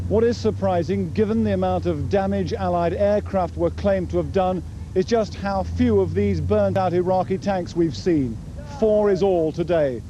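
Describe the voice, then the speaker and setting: A man's voice: on the high side, mellow. A man reading a scripted war report as a news correspondent. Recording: dull lo-fi field recording, faint vehicle noise.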